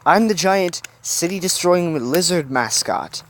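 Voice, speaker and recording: A man voicing an excitable, teasing young male mascot who loves comics and monsters. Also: high-pitched, unhurried, slight background noise, voice pushed up. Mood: playful.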